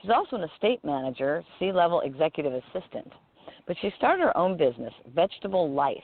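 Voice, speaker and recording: A woman hosting an interview show, introducing and questioning her guest with eager enthusiasm. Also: deep voice. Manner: enthusiastic, uses fillers like "you know". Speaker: host. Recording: dry, tinny call audio.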